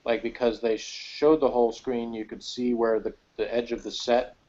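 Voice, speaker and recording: A man's voice: medium pitch, level tone, normal speed, darkish tone. Man chatting casually, slightly muffled sound. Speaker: co-host on a TV review. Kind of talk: casual chat. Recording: dull, slightly lo-fi audio.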